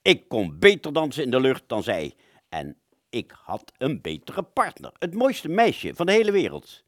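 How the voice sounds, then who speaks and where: A man's voice: mid-range voice, huge pitch swings, normal speed, no echo, studio quality. A man playing a rambling, agitated wartime fighter pilot who relives battle in a stammering, dramatic radio play monologue. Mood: dreamy, recollecting.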